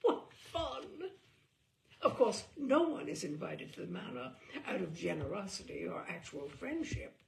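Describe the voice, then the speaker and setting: Woman reading a funny book out loud, super animated pitch, creaky gravelly voice, kinda arch and dramatic. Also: measured pace, slight background noise. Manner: arch, dramatic, mock-serious delivery. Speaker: reader of a humorous book. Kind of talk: theatrical reading aloud of comic prose.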